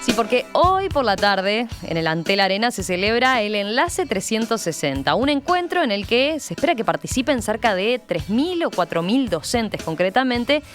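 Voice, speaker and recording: A woman's voice: fairly high pitch, very animated, moderate pace, few pauses. Uruguayan woman hosting radio, music behind. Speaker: radio interview host. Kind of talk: conversational radio interviewing. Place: radio studio. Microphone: studio microphone.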